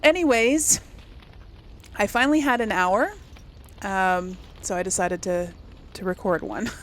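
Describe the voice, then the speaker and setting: A woman's voice: mid-range voice, unhurried, a little hiss or hum. A woman giving casual let's play commentary as host, rambling as she thinks aloud and corrects herself. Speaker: gaming video host.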